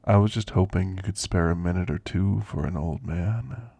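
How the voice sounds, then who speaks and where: Guy doing a creaky old grandpa werewolf, asmr roleplay, gentle n teasing, super close dry sound. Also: sits low, no echo. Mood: gentle, friendly. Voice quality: gravelly, creaky. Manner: unhurried, folksy, gently chatty. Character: kindly, frail elderly male werewolf, teasing and grandfatherly. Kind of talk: ASMR roleplay, intimate character monologue.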